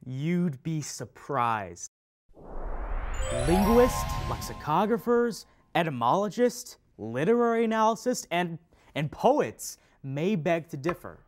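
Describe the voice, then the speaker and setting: A pedantic male teacher acted by a man with a fairly high, very animated voice. He stresses key words emphatically and explains in a didactic manner, as in a scripted educational play. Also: slow pace, music in the background. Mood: instructive. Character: pedantic male teacher patiently correcting a student. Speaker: readers theater performer. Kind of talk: scripted instructional stage dialogue.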